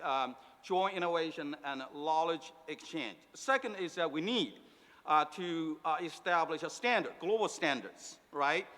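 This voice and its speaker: A middle-aged man from China giving a panel talk as a professor, halting with many fillers and restarts. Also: fairly high pitch, pushed and bright. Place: speaking remotely into a large event hall. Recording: long hall reverb.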